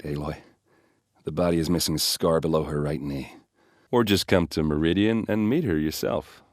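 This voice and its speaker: Gruff warrior, video game character, hard-drinking, friendly, boastful, wry, dry studio sound. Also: male voice, deep voice, dry recording. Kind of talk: game dialogue and combat barks.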